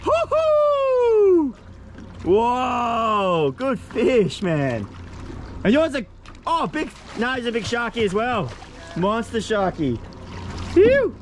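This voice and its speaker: Excitable Australian man, high animated voice. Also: takes it slowly. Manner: excitable, shouting and giggling, repeats himself. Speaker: host of a fishing and camping vlog. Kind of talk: excited casual chat while fishing. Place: outdoors on a small boat at sea. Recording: noisy outdoor boat recording.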